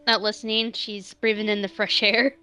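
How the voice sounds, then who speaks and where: A woman's voice: mid-range voice, little pitch movement, moderate pace, pushed and bright, smooth. A woman playing a tabletop game, casually describing what her character does in improvised roleplay.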